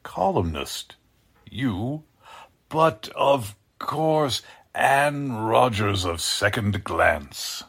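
An actor playing a deranged, sinister scientist with a husky voice, over-polite and menacing, in the style of 1940s radio drama. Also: male voice, mid-range voice, lively intonation, extremely slow, a bit bright, heavily acted. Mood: gloating excitement. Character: deranged male scientist, sinister, courtly, gloating captor.

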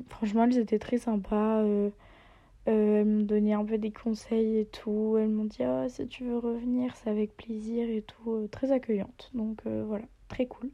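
Soft-spoken young French woman, mellow. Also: fairly high pitch, flat delivery, normal speed. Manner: chatty, many fillers, often drops to a near whisper. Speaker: yoga teacher and podcast host. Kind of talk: casual spoken travel diary. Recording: slightly dull lo-fi sound.

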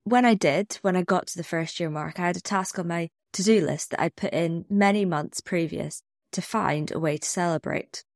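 A woman's voice: lowish voice, moderate pace, creaky, hardly any pauses, dry recording, clean studio sound. A woman with a cold, sounding a bit snuffly, hosting her own podcast and reflecting candidly in a scripted solo talk. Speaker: podcast host.

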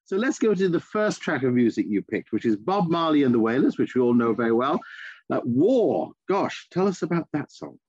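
An older man hosting a podcast, introducing his guest in a formal, measured way. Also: medium pitch, big pitch swings, a little mellow, husky, dry recording. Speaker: podcast host. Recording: dull lo-fi sound with faint music.

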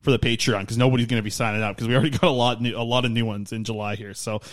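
A man's voice: medium pitch, steady tone. A man hosting a podcast, rambling eagerly in casual chat. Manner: fast, eager, rambling plugs. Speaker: podcast host.

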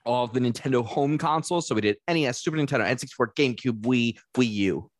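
A man's voice: mid-range voice, fast talker, no room sound. A man hosting a casual podcast and running a quiz game, loose and profane, laughing often, with a dry throat and a lingering cough.

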